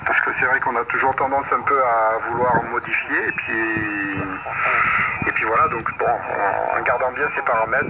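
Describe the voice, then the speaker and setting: A middle-aged man chatting casually as a ham radio operator over shortwave, repeating himself in encouraging chatter. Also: medium pitch. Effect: shortwave radio transmission. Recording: distant, dull, very noisy shortwave radio.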